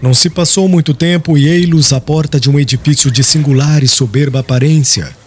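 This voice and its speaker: A man's voice: on the high side, brisk, slight background noise. A man narrating a literary audiobook in a solemn, dramatic storytelling style.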